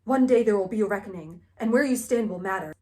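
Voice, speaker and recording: A woman's voice: medium pitch, fast talker, mellow, lightly acted. A woman voicing an earnest, serious young man with a grave, sincere manner.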